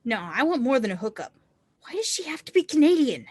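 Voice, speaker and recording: A woman's voice: high voice, big pitch swings, a little fast, darkish tone, slightly rough, dry recording, heavily acted. A woman reading the part of an upbeat, encouraging teenage snowboarder in a screenplay table read. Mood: smitten.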